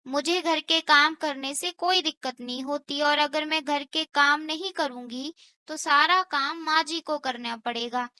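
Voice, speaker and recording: A woman's voice: sits high, measured pace, sharp tone, no room sound, studio quality. A woman voicing an earnest, dutiful young daughter-in-law in a cartoon story, speaking carefully and sincerely. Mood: gentle, earnest. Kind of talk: scripted cartoon story dialogue.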